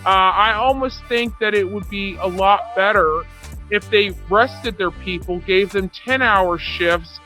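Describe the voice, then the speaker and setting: A woman's voice: medium pitch, measured pace, sharp tone. Self-assured, boastful woman with music behind. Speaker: online true crime commentator and self-styled reporter. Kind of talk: rambling unscripted monologue.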